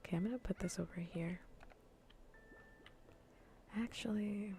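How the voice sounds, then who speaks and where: A woman livestreamer chatting casually in a soft, breathy, often near-whispered ASMR style, close to the microphone, languid, teasing and blunt. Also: medium pitch, unhurried, soft background music. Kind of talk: soft-spoken ASMR, casual livestream chat while gaming. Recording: faint background noise.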